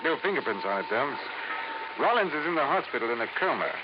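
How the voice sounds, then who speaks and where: A noisy, muffled old radio recording carries a male police inspector played by an actor. He briefs briskly and matter-of-factly in 1950s drama style. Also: medium pitch, sing-song, natural voice. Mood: businesslike. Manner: businesslike.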